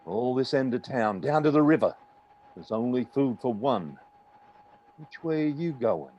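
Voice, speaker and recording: An actor playing a paranoid, beaten militia soldier in a radio play, with a jittery, stammering, emphatic delivery. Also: male voice, mid-range voice, very animated, a little slow, a little mellow, dull audio. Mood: suspicious, jumpy. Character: paranoid, defeated militia soldier guarding his territory. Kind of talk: radio play reading, dramatic dialogue.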